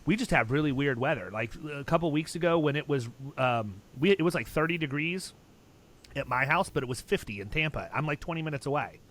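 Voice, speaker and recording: A man's voice: a little high, lively intonation, brisk, a bit bright. A man hosting a podcast, telling stories in a blunt, emphatic and talkative way, often restarting his sentences. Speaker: podcast host. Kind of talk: casual podcast chat.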